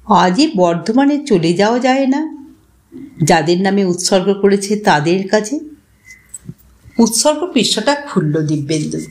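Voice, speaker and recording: A woman reading a literary short story expressively, in a measured way, as an audiobook narrator. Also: mid-range voice, expressive, a little mellow.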